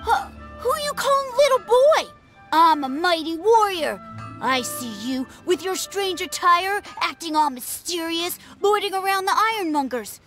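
Anime game kid: cocky little boy, very high, brash, accusing, clear music underneath. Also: expressive, measured pace, fairly bright tone, heavily acted. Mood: indignant, boastful. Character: cocky, suspicious, feisty little boy playing mighty warrior. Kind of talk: anime-style video game dialogue.

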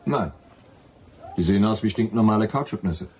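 Deep, grumbling Brazilian boatman. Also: animated, normal speed, darkish tone, slight background noise. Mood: dismissive. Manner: blunt, grumbling. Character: gruff, cautious, patriotic Brazilian boatman, grudgingly won over. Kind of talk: German radio drama acting.

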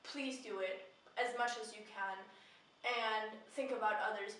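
A woman's voice: medium pitch, sharp tone. A young woman talking casually and earnestly to the camera as a vlogger, rambling a little with heartfelt emphasis. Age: young adult. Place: small indoor room with bare painted walls. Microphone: camera mic at a distance. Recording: echoey room, distant mic, faint noise.

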